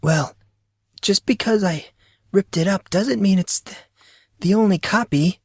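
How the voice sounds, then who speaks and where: A teenage girl who sneers and goads, played by a male narrator. The voice is lifted very high, with a weakened, evasive edge. It sits in a dry audiobook recording. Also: unhurried, husky, no room sound, full character voice. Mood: sneering. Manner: taunting, sneering. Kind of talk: audiobook character acting.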